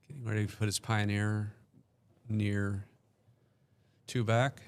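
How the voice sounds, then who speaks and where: A man's voice: lowish voice, steady tone, slow, clean tone. A man doing casual play-by-play commentary, describing each move step by step and hesitating often with ums.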